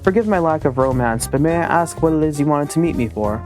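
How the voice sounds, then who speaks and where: A man's voice: a little high, expressive, fast, over music, close to an everyday voice. A man voicing a suave, mysterious male pigeon student in a visual novel, in a theatrical way with French flourishes. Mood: gallant. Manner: theatrical, sprinkles in French phrases. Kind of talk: visual novel read aloud.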